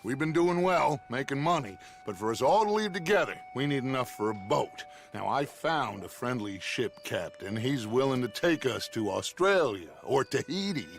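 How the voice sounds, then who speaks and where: A man's voice: mid-range voice, lively intonation, normal speed, gravelly, few pauses. A man voicing a grandiose, commanding middle-aged outlaw gang leader who talks in a theatrical, persuasive way. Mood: urgent, persuasive. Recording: game cutscene with music score. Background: orchestral game music.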